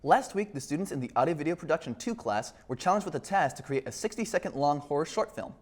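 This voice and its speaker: A teenage boy anchoring a school news show with a scripted, measured delivery. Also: on the high side, sing-song. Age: teens.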